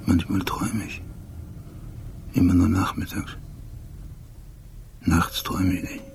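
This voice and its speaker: An actor playing a brooding, obsessive police detective in a radio drama monologue, halting and intense, sometimes close to a whisper. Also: male voice, low voice, flat delivery, creaky, darkish tone, a little hiss or hum, full character voice. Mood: haunted, introspective. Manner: halting, sparse, intense, near whisper at times.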